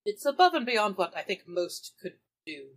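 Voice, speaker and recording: A woman's voice: mid-range voice, big pitch swings, moderate pace, a bit bright. A woman playing a tabletop game, chatting casually in character in a polite, tentative way. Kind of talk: casual in-character improvised chat.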